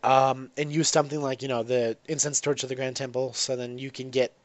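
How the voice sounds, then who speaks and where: A man's voice: medium pitch, level tone, a little fast, no room sound. A man with a congested voice from a cold, casually commenting on his own gameplay with lots of ums and restarts. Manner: rambling, self-correcting, frequent ums.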